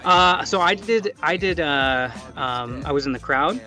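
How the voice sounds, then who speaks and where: Man, slightly high-pitched, casual interview guest, halting delivery, frequent restarts, light music behind. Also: expressive. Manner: halting, self-correcting, restarts often.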